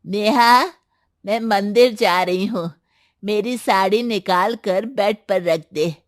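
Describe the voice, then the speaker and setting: Woman doing a bossy middle-aged saas in a cartoon, slow complaining delivery, dry studio sound. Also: mid-range voice, dry recording, lightly acted. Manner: commanding, complaining. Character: demanding, bossy middle-aged Indian mother-in-law. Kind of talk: animated Hindi story voice acting.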